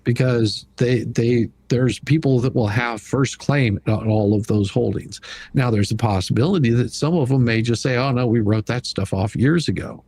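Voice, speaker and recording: A man with a slightly husky voice hosting a casual livestream commentary in a blunt, mocking, colloquial way. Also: mid-range voice, normal speed, a little mellow, a little hiss or hum. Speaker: livestream host.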